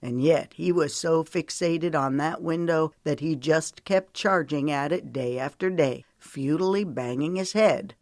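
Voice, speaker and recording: Woman voicing a protective, low-voiced man, earnestly lecturing. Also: unhurried, hardly any pauses, a little mellow, dry recording, clean studio sound. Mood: thoughtful. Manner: earnest, lecturing, folksy storytelling. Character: protective, weary man, tender but stern friend.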